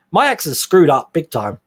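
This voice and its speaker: A man hosting a relaxed live stream from his hobby room at home. His voice sits high for a man and swings up and down a lot. He reads out viewer messages and answers them in a rambling, chatty way. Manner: chatty, rambling, quick laughs. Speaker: host. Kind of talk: casual live-stream chat reading viewer comments.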